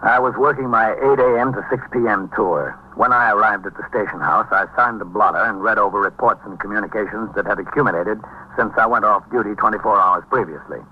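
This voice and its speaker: An actor playing a brisk, authoritative police captain who narrates in a clipped, businesslike 1950s radio drama style. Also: male voice, fairly low pitch, husky. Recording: dull 1950s radio recording.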